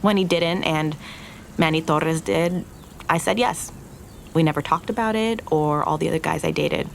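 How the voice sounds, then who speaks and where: A young woman in an audio drama, earnest and full of regret. Her voice sits low with a creaky edge, and she opens up haltingly, as if confessing. There is faint noise behind her. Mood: wistful, regretful. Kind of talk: naturalistic audio drama acting.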